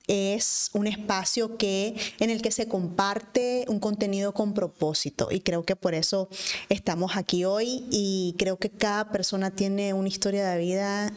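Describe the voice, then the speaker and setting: A woman hosts a podcast in a friendly, affirming way, using vos. Her voice is mid-pitched and conversational. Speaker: podcast host. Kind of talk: casual interview hosting.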